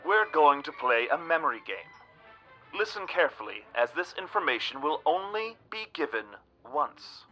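An actor playing a mysterious, pompous game master with deliberate, formal, theatrical delivery in an audio drama. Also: male voice, on the high side, big pitch swings, sharp tone, faint music behind, full character voice. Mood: ominous, formal. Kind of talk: comedic audio drama acting.

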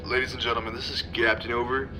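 An actor playing an airline captain giving halting, official reassurances in a comic radio drama. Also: male voice, mid-range voice, flat delivery, over loud music, lightly acted. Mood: falsely reassuring. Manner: halting, official announcer patter.

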